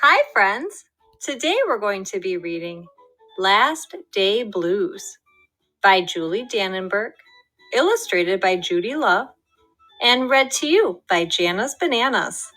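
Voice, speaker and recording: A woman reading a children's picture book aloud in an expressive, lively storytelling way. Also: mid-range voice, slow, faint music behind, rough recording. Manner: lively, expressive storytelling with voiced character lines. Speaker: storybook reader. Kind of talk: children's read-aloud narration.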